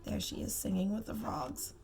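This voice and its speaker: A woman reading a storybook aloud to young children, expressive and emphatic, with simple asides and questions for the listeners. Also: mid-range voice, gravelly, a little hiss or hum. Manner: expressive, slow emphatic phrasing, simple child-directed comments. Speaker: preschool teacher reading aloud.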